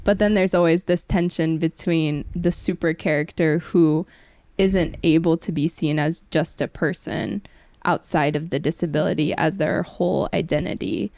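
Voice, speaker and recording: A young woman with a slightly low voice in a dry, close recording. She talks casually about books as a guest, thinking aloud in a tentative, reflective way. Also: steady tone, normal speed, darkish tone, no room sound. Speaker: podcast guest. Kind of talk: casual book discussion.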